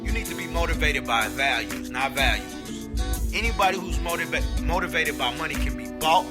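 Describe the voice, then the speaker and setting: A man's voice: a little high, moderate pace. A man hosting a podcast, giving a blunt, impassioned opinion monologue and swearing freely. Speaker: podcast host. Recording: roomy with hip hop music behind.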